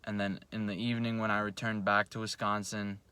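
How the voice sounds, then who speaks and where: Low-voiced profane young man, dry sound. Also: level tone, a little fast, sharp tone, smooth, no echo. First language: Russian. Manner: blunt, profane, self-coaching. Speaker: vlogger. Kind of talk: casual motivational monologue. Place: home, at night before bed.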